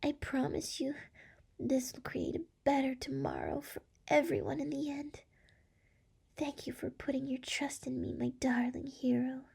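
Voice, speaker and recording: A woman voicing a seductive, tender demon lord in an intimate ASMR roleplay, speaking softly and coaxingly with a breathy, often whispering voice. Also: sits high, big pitch swings, heavily acted. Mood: tender, grateful. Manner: slow, soft, coaxing. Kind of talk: scripted ASMR roleplay, intimate address to listener.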